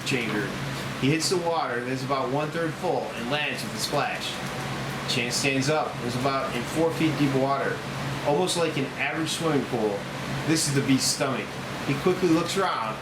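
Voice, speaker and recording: A man reads out screenplay action in a scripted narrating style, at a middling pitch. The recording is roomy and echoing, with heavy steady noise underneath. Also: normal speed. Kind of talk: scripted narration at a table read. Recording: roomy echo, loud air-conditioner-like noise.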